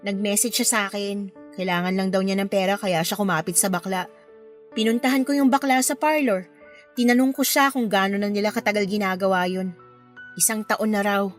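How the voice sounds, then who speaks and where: A woman's voice: mid-range voice, fairly even intonation, a little fast, faint music behind, close to an everyday voice. A woman with a Filipino accent playing a hurt, plaintive young woman confiding about a betrayal in an audio drama. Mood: aggrieved recounting.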